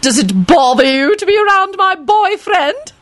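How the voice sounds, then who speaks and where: A woman voicing a shrill old aristocratic lady who screeches and crows with outrage. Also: sing-song, normal speed. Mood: gloating, shrill. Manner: screeching, crowing.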